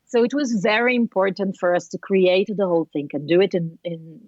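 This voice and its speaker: A woman's voice: medium pitch, fairly quick, a little mellow, no echo, muffled recording. A woman from Italy with an Italian accent, a podcast guest chatting thoughtfully with many fillers and restarts. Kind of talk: casual interview chat.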